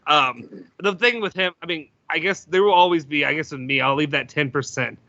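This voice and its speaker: A man hosting a casual sports podcast, loud-voiced and rambling, with lots of fillers and restarts. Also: on the high side, moderate pace, no echo, highs cut off. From United States. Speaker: podcast host.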